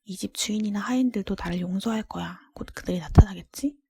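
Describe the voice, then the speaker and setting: A woman voicing a humble, polite merchant father from a storybook in a breathy whisper. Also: medium pitch, fast talker, mellow. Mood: hopeful musing.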